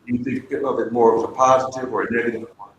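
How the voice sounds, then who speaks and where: The middle-aged educator at a community forum who fires off questions quickly and repeats them into a long, hall-like echo. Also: male voice, medium pitch, fairly even intonation, fairly bright tone, muffled recording. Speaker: panelist, educator. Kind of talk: questioning in a public discussion. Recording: very echoey hall, faint noise.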